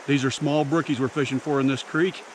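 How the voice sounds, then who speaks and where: A man's voice: mid-range voice, fairly even intonation, fairly quick, slight background noise. A middle-aged American man chatting casually and folksily to camera, as an outdoor fishing host. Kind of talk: casual explaining and chat to camera. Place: outdoors by a creek and meadow.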